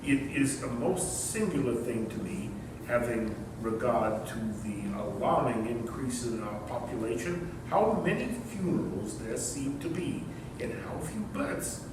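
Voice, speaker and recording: An actor playing a pompous, stingy rich uncle in a readers theater comedy, speaking formally and hesitantly. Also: male voice, animated, measured pace, close to an everyday voice. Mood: pompous politeness. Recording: echoey hall, distant mic, rumbling noise.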